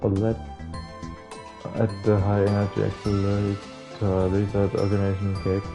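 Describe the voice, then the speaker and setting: Low-voiced man reading over game music. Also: completely flat delivery, normal speed. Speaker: playthrough host reading a visual novel aloud. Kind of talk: casual read-aloud of game text with offhand asides.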